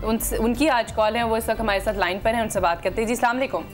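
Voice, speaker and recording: A woman hosting a call-in show, brisk and polite as she takes callers. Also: on the high side, soft background music. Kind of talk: hosting live phone calls.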